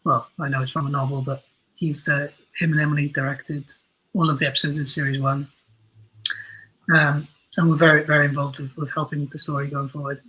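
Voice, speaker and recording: A man's voice: on the high side, flat delivery, a little fast, pushed and bright, breathy edge, low-quality recording. A man being interviewed as an audio drama writer, talking reflectively and a little hesitantly as he thinks aloud.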